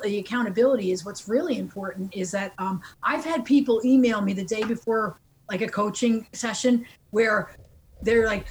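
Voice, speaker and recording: Higher-voiced woman coach, earnest, faint hum. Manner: earnest and encouraging, laughs easily. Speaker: life coach guest. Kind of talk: casual interview answers.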